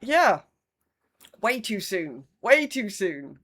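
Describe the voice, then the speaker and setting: A woman's voice: mid-range voice, big pitch swings, drawn-out words, a bit bright. A woman with a British accent chatting casually as a podcast co-host, with a wry, dry sense of humour. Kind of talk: casual chat.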